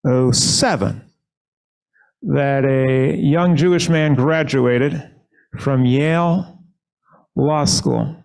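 Gravelly-voiced rabbi speaking from a lectern. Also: male voice, a little high, a little mellow, low-quality recording. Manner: measured, earnest storytelling. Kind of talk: leading a synagogue service and giving a short sermon. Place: synagogue sanctuary.

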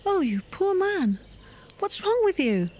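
A woman voicing Princess Sita in a puppet drama, high, breathless and pleading. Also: sing-song, a little slow, a little mellow, lo-fi sound, heavily acted. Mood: kindly.

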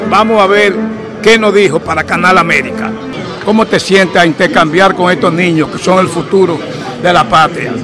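An older man reports from an open-air ballpark, speaking formally into a handheld mic and often stopping to restart a phrase. His voice sits high for a man. Music plays clearly behind him. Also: normal speed. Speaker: field reporter. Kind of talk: on-site sports reporting. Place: outdoors at a baseball stadium.

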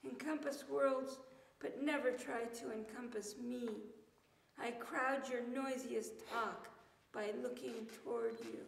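High-voiced woman reading poetry very slowly. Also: vocal fry, a little hiss or hum. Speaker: poet reading aloud. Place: church meeting room with tiled floor, indoors. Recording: roomy, reverberant hall sound.

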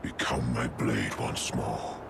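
Very deep, gravelly, grim warrior lord. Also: male voice, takes it slowly, heavily acted. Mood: grim, solemn. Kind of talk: dramatic game cutscene acting. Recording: noisy, game ambience behind.

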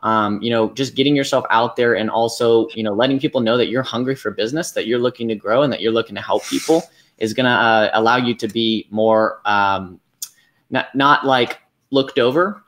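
A young man hosts a live business chat over a webcam from a small, dim room. His voice sits at an ordinary middle pitch and he talks loosely, leaning on "like" and "um" as he works through his points. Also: brisk. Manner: relaxed, many fillers. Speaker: business coach host. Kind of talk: live video call interview. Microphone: webcam on video call.

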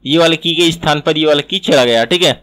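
A man with a slightly high voice narrates a software tutorial. He explains step by step, repeating each instruction as he goes. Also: brisk, clear, resonant tone. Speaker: animation tutor. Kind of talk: screen-recorded software tutorial.

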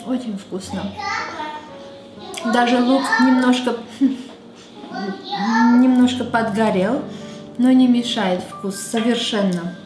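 Slow, chatty woman in an echoey kitchen. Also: a little high. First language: Armenian. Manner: chatty, playful, slow and unhurried. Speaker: cooking show host. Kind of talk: casual cooking demonstration. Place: home kitchen, indoors. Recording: echoey kitchen with loud music bed.

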